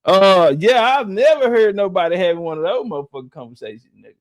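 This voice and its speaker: A man's voice: high-pitched, expressive, fast, no echo. A man giving brash, slangy, mocking reaction commentary straight to his viewers. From Southern United States. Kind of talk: casual profane reaction commentary.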